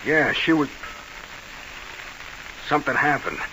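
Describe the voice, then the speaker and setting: A man's voice: on the high side, animated, slow pace, sharp tone, exaggerated voice. An actor playing a haunted, defensive nightclub manager in an old radio mystery, talking in jumpy, clipped tough-guy slang. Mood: defensive, rattled. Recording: noisy, hissy old radio broadcast.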